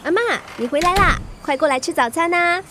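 A perky young girl, speaks in a very high, cheeky voice. She is a peacemaking daughter in a radio drama, with ambient noise behind. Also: a little fast, fairly bright tone. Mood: prompting. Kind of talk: Mandarin radio drama acting.

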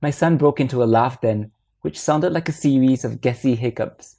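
A woman reading a literary short story aloud as narrator, in a measured and expressive manner. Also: a bass voice.

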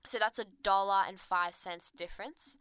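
A woman's voice: medium pitch, steady tone, normal speed, a bit bright, smooth, no echo. A young Australian woman co-hosting a podcast in casual chat, chatty and giggly, full of "like". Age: young adult. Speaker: podcast co-host.

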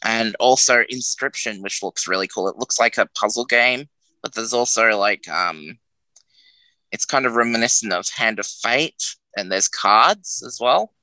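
Bright-toned man chatting casually. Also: fairly low pitch, a little slow, no echo, rough recording. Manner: loose, hesitant, lots of fillers. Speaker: radio co-host. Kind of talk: casual game chat.

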